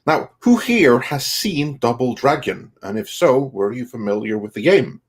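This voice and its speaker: A man hosting a casual panel discussion in measured, wordy sentences. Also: mid-range voice, expressive, slightly rough. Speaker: host.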